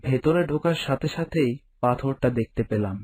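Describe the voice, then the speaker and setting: Young guy telling a spooky story as himself, steady and earnest, mid pitch. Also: moderate pace, slight background noise. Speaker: narrator and hero. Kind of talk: first-person story narration.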